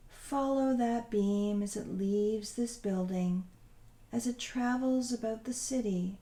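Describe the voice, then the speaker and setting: A woman leading a guided visualization meditation in a soothing, unhurried, gently instructing way. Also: medium pitch, echoey room.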